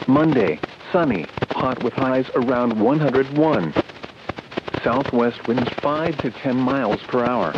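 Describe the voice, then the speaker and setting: A man delivering a scripted weather forecast as a radio announcer, with a measured, impersonal synthetic delivery over a radio broadcast. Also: medium pitch, muffled recording, background noise. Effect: radio broadcast sound.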